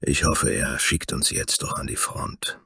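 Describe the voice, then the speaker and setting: A man's voice: unhurried, sharp tone, no room sound, clean studio sound. A man voicing a surly, taciturn male half-orc, grumbling breathily in a fantasy story.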